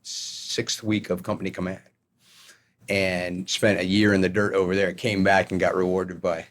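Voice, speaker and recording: Deep-voiced middle-aged American man. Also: flat delivery, moderate pace. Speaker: podcast guest, retired army colonel. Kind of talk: conversational storytelling interview.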